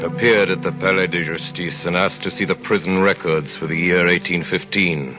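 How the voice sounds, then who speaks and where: An actor playing a brooding, commanding aristocratic avenger in 1930s radio drama, grave, measured and theatrical. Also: male voice, low voice, steady tone, music in the background, natural voice. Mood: grave narrating. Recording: muffled 1930s radio broadcast.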